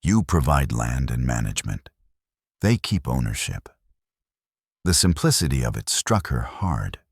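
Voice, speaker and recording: Male narrator for Western romance audiobook, extremely low pitch, dry close sound. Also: big pitch swings, unhurried, husky, no echo. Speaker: storyteller narrator.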